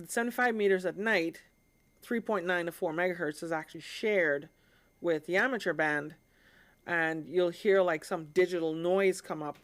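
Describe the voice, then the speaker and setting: A woman from the Caribbean hosting a live stream, explaining things in an informal, conversational way and often checking in with her listeners. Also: on the low side.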